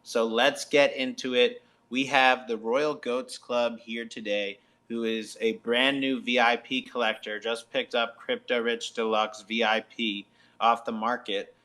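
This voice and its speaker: Bright-voiced male show host. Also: medium pitch, level tone, unhurried. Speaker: radio show and podcast host. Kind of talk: upbeat promotional interview hosting.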